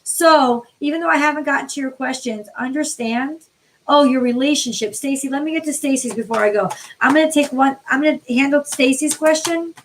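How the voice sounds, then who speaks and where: An American woman from the New York City area reads tarot on a live stream, chatting informally with viewers by name, her voice tiring and getting a little hoarse. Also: high-pitched, fairly quick, slight background noise. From New York City area, USA. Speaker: tarot card reader, livestream host.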